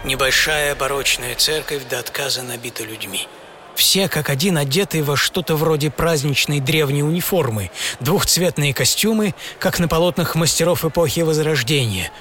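A man narrating a scripted radio travel piece in a theatrical, expressive way. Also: medium pitch, a little hiss or hum. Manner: theatrical, expressive, shifting from hushed to excited. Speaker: author and narrator of an audio travel show. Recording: music under the voice. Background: music.

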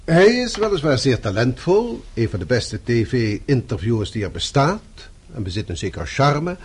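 A man's voice: mid-range voice, very animated, moderate pace, slightly rough. A man acting in a radio play as a stern, middle-aged boss who speaks formally with dry sarcasm. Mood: dryly disapproving. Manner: formal, clipped, dryly sarcastic. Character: stern, sardonic middle-aged male boss, fatherly and disapproving.

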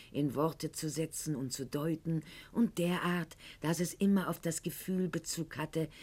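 Radio play role: haughty, self-dramatising noblewoman of the classical age, lowish voice, very measured pace, mannered theatrical diction. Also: full character voice. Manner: mannered, articulate, declamatory, swinging to hushed confiding tones. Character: proud, haughty aristocratic lady of Goethe's era, sentimental and self-dramatising.

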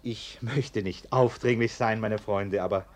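An actor in a German radio play playing an anxious, gullible middle-aged householder, ingratiating and prone to declaiming. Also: male voice, fairly low pitch, steady tone, unhurried, close to an everyday voice. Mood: effusive. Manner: ingratiating, declaiming, hesitant under pressure.

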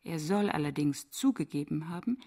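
An actress playing a defiant, sardonic woman scholar in a radio play, speaking deliberately and provocatively. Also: sits low, expressive, mellow. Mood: cool, mocking.

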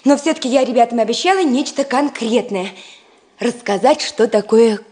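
A woman's voice: fairly high pitch, moderate pace, a little mellow, husky. An actress playing an affectionate, coaxing woman in a radio drama, talking in a familiar way. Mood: coaxing, fond. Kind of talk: Soviet radio drama acting.

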